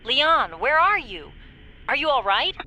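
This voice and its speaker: A woman voicing a loyal female ally who checks in over the radio in a game cutscene, sounding concerned and brisk. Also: high voice, sing-song, bright, forceful tone, full character voice.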